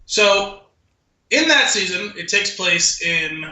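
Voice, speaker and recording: A man's voice: high voice, expressive, slow, sharp tone, roomy sound, low-quality recording. A man co-hosting a podcast in casual chat, telling stories with offhand profanity. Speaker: podcast co-host.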